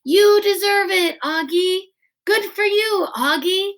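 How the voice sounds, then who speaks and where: A woman puts on excited storybook schoolkids cheering for their friend. The voice jumps up high and loud, exclaiming with glee. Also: slow, heavily acted.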